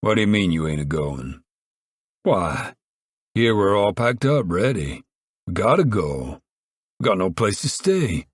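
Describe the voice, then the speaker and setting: A man voicing a weary middle-aged male farmer with a drawl, talking low and helplessly. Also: sing-song, measured pace, pauses often, husky, dry recording, clean studio sound, full character voice. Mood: pleading.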